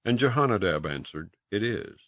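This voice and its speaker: A man with a deep voice narrates old-fashioned King James scripture. His delivery is solemn and dramatic, moving widely in pitch as he tells the story. The sound is close and dry, with very little room in it. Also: expressive, normal speed, a bit bright, no room sound. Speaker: audiobook reader.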